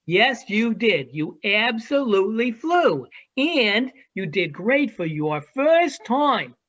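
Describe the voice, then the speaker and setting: A woman acting as a teasing, worldly adult seagull in an amateur virtual one-act play, with drawn-out delivery. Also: on the high side, big pitch swings, fairly bright tone, full character voice. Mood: encouraging. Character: teasing, worldly adult seagull perched high above the city. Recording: dull lo-fi video call audio.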